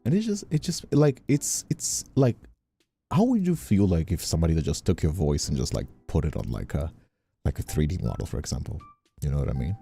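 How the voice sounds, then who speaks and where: A man's voice: very deep voice, sing-song, a little fast, gravelly, warm tone, soft background music. A man hosting a casual stream chat, venting in a rambling, exasperated way and often repeating himself. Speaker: streamer and host.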